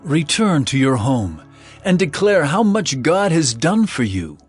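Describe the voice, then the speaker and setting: An actor voicing a calm, authoritative teacher who speaks slowly and with weight, his voice rising and falling with feeling. It sits slightly high for a man, with faint music behind. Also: slightly rough, dry recording, heavily acted. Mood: solemn. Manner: measured, solemn, deliberate delivery. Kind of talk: dramatized scripture reading.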